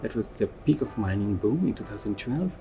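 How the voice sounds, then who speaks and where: A middle-aged man with a very deep voice explains his family's move earnestly. Music runs clearly beneath him. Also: animated, fairly quick. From Bangladesh. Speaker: interviewee. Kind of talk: personal interview. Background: background music.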